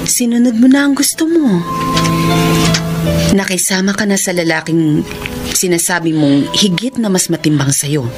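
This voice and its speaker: Casting: female actor for admonishing, caring Filipino big sister, lower register, radio soap over heavy score. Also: moderate pace, long pauses, loud music behind the voice, close to an everyday voice. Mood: questioning, firm. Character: firm, caring older sister giving advice. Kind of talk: Filipino radio drama acting.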